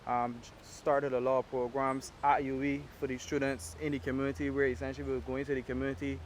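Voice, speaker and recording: Fast-talking Barbadian man. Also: mid-range voice, slight background noise. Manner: animated storytelling in Caribbean dialect. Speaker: award-winning activist guest. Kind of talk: casual interview talk.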